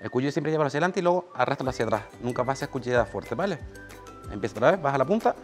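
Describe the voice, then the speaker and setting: A Canarian-man in his forties, mid-pitched, leads a hands-on cooking class in a small bright teaching kitchen. He explains each step in a practical way and gives short instructions to the assistant beside him. Faint music plays under his voice. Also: animated, very fast. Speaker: chef giving a cooking demonstration.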